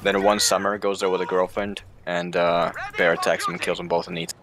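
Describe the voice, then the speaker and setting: A man with a low voice commentates a strategy game with a friend in a chatty, rambling way. Faint gunfire from the game sounds behind him. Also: little pitch movement, brisk, noisy background. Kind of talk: casual co-commentary.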